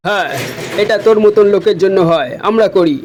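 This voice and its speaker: A man's voice: high voice, fairly quick, over music, close to an everyday voice. A man voicing a hot-tempered, bullying policeman in a comic cartoon dub, scolding and threatening in exasperation. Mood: exasperated. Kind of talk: comic Bengali cartoon dubbing.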